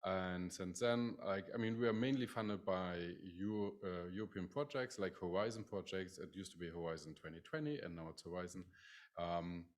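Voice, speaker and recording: Middle-aged German-accented man, low voice, professor giving a conference talk, filler-heavy. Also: normal speed. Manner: many "like" fillers, restarts. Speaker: professor presenting his lab. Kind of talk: conference presentation.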